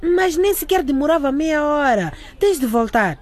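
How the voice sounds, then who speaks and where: A persuasive, defensive schoolgirl in a radio drama. Her voice is very high and leaps up and down as she presses her point. The delivery is insistent and exclamatory. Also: expressive, moderate pace, a little mellow. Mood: urgent. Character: pushy teenage schoolgirl, persuasive and defensive friend.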